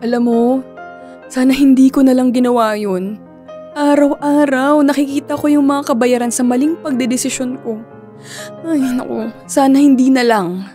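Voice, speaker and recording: A woman's voice: high voice, moderate pace, warm tone, soft background music, natural voice. An actress with a Filipino accent playing an anxious, guilt-ridden young woman in radio drama dialogue, fretful and pleading. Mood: remorseful.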